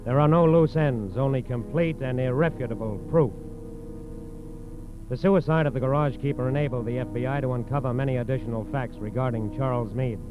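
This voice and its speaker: An American man giving grave, deliberate scripted narration for a 1940s radio crime drama. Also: medium pitch. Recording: very muffled vintage radio recording. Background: music.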